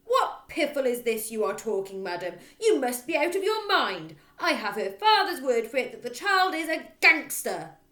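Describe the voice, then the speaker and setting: A woman voicing a bullying middle-aged headmistress in a storybook, who barks and shouts with open contempt. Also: high voice, very animated, normal speed, few pauses, reverberant, voice pushed up, heavily acted. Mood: outraged.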